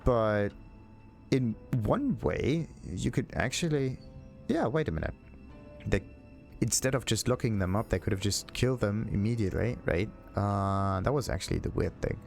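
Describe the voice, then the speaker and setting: A man giving casual game commentary as a let's play host, thinking aloud in a halting, puzzled way. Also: on the low side, animated, creaky, a little mellow. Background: game music.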